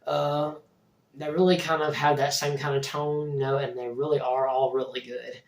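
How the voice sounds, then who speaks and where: Hoarse man reviewing a movie. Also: medium pitch, level tone, moderate pace. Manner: rambling, many restarts. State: hoarse from a cold. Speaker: movie reviewer, channel host. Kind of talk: casual video review. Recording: roomy echo, distant mic.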